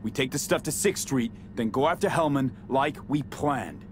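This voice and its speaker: A man's voice: medium pitch, normal speed, close to an everyday voice. A man playing a pragmatic, streetwise mercenary in a video game, talking in a dry, curt, sardonic way. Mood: exasperated. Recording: loud vehicle noise and game ambience.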